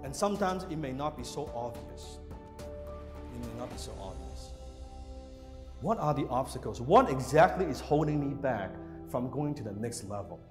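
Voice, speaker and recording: A man's voice: mid-range voice, expressive, normal speed. A man giving an emphatic, punchy motivational speech over music. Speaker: motivational speaker. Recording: long hall reverb with loud music.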